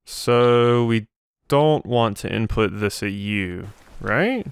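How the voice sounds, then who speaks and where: A man's voice: on the low side, animated, takes it slowly, clear, resonant tone, dry recording, studio quality. A man doing casual let's play commentary, thinking aloud as he plays.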